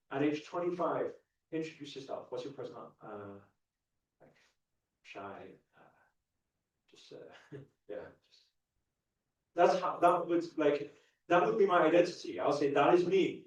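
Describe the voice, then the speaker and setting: A man's voice: a little high, darkish tone, distant mic, highs cut off. A young man from the UK giving a live stage talk to an audience, earnest, asking the crowd questions and often restarting his sentences. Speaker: motivational speaker. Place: large indoor event hall. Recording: echoey hall, mic heard from afar.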